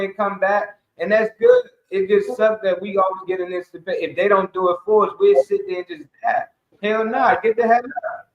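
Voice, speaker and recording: Echoey, high-voiced man talking emphatically. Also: fairly bright tone. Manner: emphatic, exhorting, colloquial, swearing. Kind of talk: casual opinionated community talk. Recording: dull, echoey room sound.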